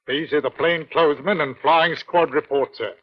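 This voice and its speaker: An actor playing a dutiful British police subordinate in an old-time radio crime drama, reporting in a deferential, clipped, keen way. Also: male voice, fairly high pitch, steady tone, moderate pace, close to an everyday voice. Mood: businesslike. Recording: dull, lo-fi vintage radio recording.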